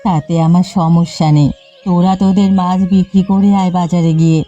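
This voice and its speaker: Cartoon village elder sister, played by a woman: kind, firm fisherwoman, mellow tone, music underneath. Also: lowish voice, level tone, brisk, natural voice. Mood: firm, mildly annoyed. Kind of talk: Bengali cartoon dialogue. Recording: slightly muffled audio with background music. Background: background music.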